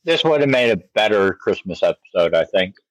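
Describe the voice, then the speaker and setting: A man's voice: lowish voice, sing-song, fairly quick, no room sound, low-quality recording. A man co-hosting a podcast, chatting casually in a rambling way that doubles back on itself. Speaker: podcast co-host. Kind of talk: casual chat about an anime parody.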